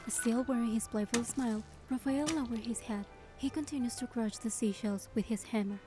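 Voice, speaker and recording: An actress playing a gentle young heroine who narrates her romance game story in a measured way. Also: on the high side, over music, lightly acted. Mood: warm, thoughtful. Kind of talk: scripted first-person narration.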